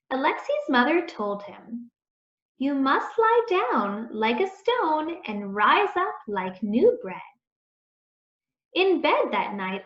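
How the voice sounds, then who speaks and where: Slow, high-voiced woman reading a children's story. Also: fairly bright tone. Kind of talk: read-aloud storytelling, scripted narration. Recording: echoey room, dull muffled sound.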